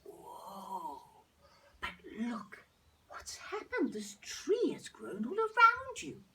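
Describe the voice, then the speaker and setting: A woman's voice: fairly high pitch, huge pitch swings, takes it slowly, far from the mic, background noise, full character voice. A woman voicing a small, curious, helpful hare with an eager, concerned manner.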